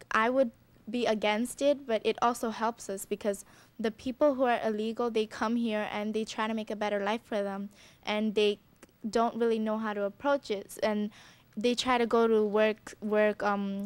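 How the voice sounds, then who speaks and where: A young woman, a student, giving an informal opinion in a group discussion, hesitantly searching for words. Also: on the high side. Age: young adult.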